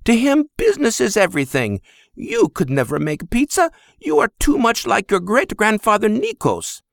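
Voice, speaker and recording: A man's voice: high voice, huge pitch swings, normal speed, vocal fry, dry recording, studio quality, pitched above the performer's own voice, full character voice. A man voicing an older Greek immigrant mother who scolds in broken English. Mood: scolding.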